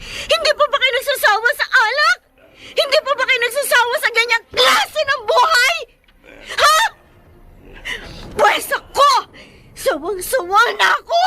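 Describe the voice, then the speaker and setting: A woman's voice: extremely high pitch, expressive, unhurried, pauses often, noisy background. A woman with a Filipino accent playing an anguished, fed-up grown daughter in an emotional, melodramatic radio drama. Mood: tearful fury. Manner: emotional, melodramatic, tearful outbursts.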